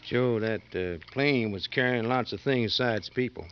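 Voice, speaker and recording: A man acting a greedy, impatient convict in a 1950s radio drama, needling and insistent, with a gravelly voice. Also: medium pitch, moderate pace, close to an everyday voice. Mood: scheming. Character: scheming, impatient male convict, greedy and hard. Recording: dull, slightly noisy 1950s radio recording.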